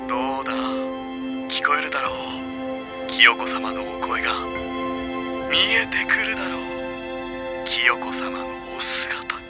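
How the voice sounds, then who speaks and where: A man's voice: mid-range voice, very animated, a little slow, pauses often. An actor playing a bombastic teenage boy in an anime audio drama, preaching worship of his idol in a pompous, theatrical way. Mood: solemn preaching. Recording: loud music under the voice.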